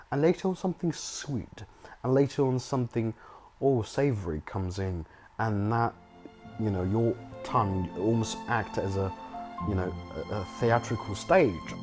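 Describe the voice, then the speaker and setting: A man's voice: lowish voice, darkish tone. A young man from China, a filmmaker and home cook, speaking in a documentary interview, reflective and hesitant with many restarts and fillers.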